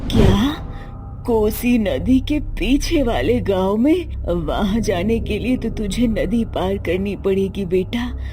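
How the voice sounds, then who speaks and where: Woman doing worried mom in a cartoon story, insistent, slowish, music playing behind. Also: medium pitch, lively intonation. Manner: cautioning, insistent. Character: worried, protective mother of a grown son.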